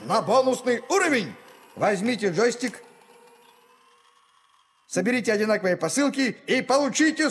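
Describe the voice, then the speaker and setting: An actor playing an excitable, eager and whiny game-mad dog in an animated cartoon. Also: male voice, sits high. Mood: announcing. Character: excitable male cartoon dog hooked on games.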